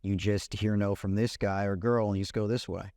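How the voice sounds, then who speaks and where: A man in his thirties, a guest content creator, talking in a relaxed way and often saying "you know" and "man". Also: fairly low pitch, fairly quick, no room sound, clean studio sound. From Puerto Rican family, raised in California. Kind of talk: casual interview chat.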